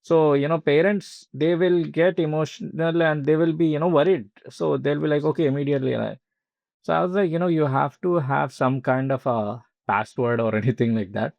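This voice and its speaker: A man with an Indian accent talking casually and haltingly as a podcast host, with frequent asides. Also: on the high side, fairly even intonation, gravelly, darkish tone, no echo, lo-fi sound.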